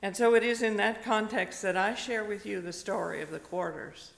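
An older woman, a retired pastor, preaches slowly and gently, telling a personal story with pauses, at a pulpit microphone in a church. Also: medium pitch, gravelly. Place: church sanctuary, indoors. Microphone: stand microphone at a pulpit. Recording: long reverb of a church hall.

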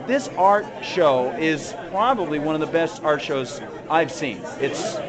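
A man's voice: a little high, animated, a little slow. Man, upbeat roving interviewer at a crowded art show, handheld mic. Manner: enthusiastic, effusive. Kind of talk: casual on-the-spot interview. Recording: busy crowd noise. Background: crowd chatter.

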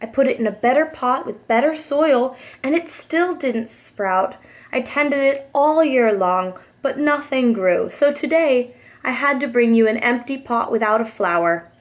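A woman's voice: a little high, expressive, a little slow. A woman voicing an earnest, ashamed young boy in a storybook, explaining himself pleadingly.

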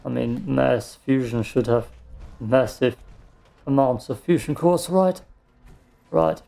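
A man's voice: mid-range voice, takes it slowly, gravelly, mellow, faint music behind. A man giving casual gameplay commentary, muttering to himself and given to swearing. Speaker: let's play streamer.

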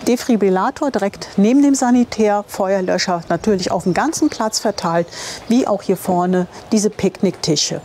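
A woman's voice: medium pitch, moderate pace, darkish tone. Chatty older woman vlogger, noisy location sound. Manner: chatty, enthusiastic, teasing. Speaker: camper van travel vlogger and presenter. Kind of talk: informal guided tour to camera. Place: campsite, outdoors and in small tiled rooms. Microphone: clip-on lapel microphone. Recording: clear ambient noise on location.

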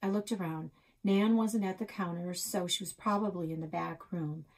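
A woman's voice: lowish voice, steady tone, moderate pace, darkish tone. A middle-aged woman reading a children's novel aloud as a storyteller, recorded in a small office at about arm's length.

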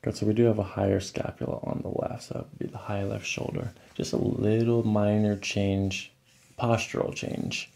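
A man in his thirties with a low, creaky voice talks like a clinician at work. He goes step by step, checking in with questions and explaining the exam as he does it. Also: flat delivery, moderate pace, a little mellow. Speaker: chiropractor. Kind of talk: clinical patient consultation and exam commentary. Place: small carpeted chiropractic clinic room and hallway.

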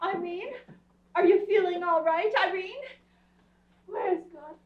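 A woman's voice: very high-pitched, fairly even intonation, measured pace. A woman playing a scatterbrained, doting society mother in a gushing, theatrical staged radio play. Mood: coaxing. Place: theatre stage before an audience. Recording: echoey stage, far mic, muffled.

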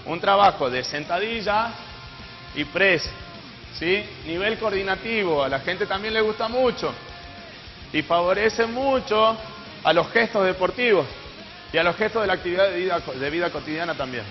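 A man's voice: high voice, lively intonation, normal speed. A young Argentine man instructing and encouraging as a fitness trainer during a live exercise demonstration. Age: young adult. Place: TV studio, indoors. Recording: dull echoey TV audio, music behind.

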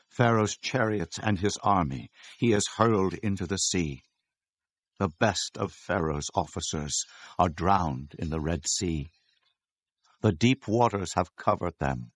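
Slow, grave, low-voiced Israelite man. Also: no room sound. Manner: grave, declamatory. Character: reverent Israelite man proclaiming God's victory. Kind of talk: dramatized scripture reading.

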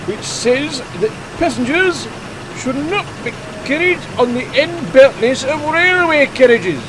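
A man playing a stern, officious railway inspector in a children's story, speaking in a formal, measured way. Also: very high-pitched, animated, noisy background, voice pushed up.

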